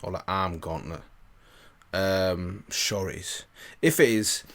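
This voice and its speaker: A man's voice: deep voice, slow, vocal fry. A British man giving casual reaction commentary, thinking aloud in a tentative way. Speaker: reaction video host.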